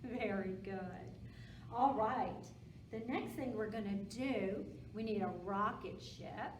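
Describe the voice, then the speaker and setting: A woman's voice: mid-range voice, slow. A woman presenting a storytime for young children and reading aloud, speaking simply and encouragingly. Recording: roomy echo, distant mic, background noise.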